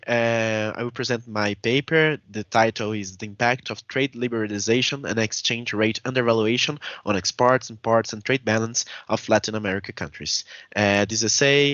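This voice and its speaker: A man's voice: lowish voice, fairly bright tone, no room sound. A young man with a Brazilian Portuguese accent gives an academic conference talk in a careful, hesitant way, often stopping to rephrase. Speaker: conference presenter. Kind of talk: academic paper presentation. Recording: dull, dry video call audio.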